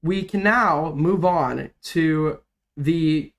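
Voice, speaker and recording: A man's voice: a little high, very slow. Sick young man, cracking voice. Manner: rambling, profane, filler-heavy. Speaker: drama commentary streamer. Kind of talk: casual livestream commentary.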